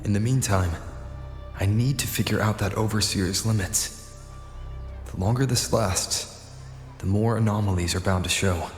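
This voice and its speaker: An actor playing a composed young male game hero who reasons through his plans in a measured, serious way. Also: fairly low pitch, lightly acted. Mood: grave, thoughtful. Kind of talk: scripted game voice acting. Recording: echoey, long reverb, music behind.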